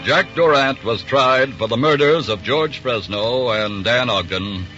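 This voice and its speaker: A man's voice: medium pitch, a little slow. An American man giving formal, solemn scripted narration for a 1940s radio crime drama. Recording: dull, band-limited 1940s radio broadcast. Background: music.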